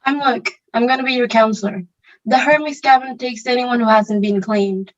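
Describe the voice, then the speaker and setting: A woman's voice: fairly high pitch, moderate pace, background noise, close to an everyday voice. Woman as cool teenage boy, wry, stage script reading, lightly muffled sound. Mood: wry, reassuring. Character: Luke, cool bad-boy teenage camp counselor, wry. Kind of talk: online table read of a stage musical.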